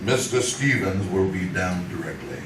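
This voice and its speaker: A man's voice: low voice, moderate pace, close to an everyday voice. A man playing a stiffly formal, deferential butler in live old-time radio drama. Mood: stately, polite. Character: formal, deferential male butler of a wealthy house. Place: theater stage, live performance. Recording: roomy, distant stage recording with hiss.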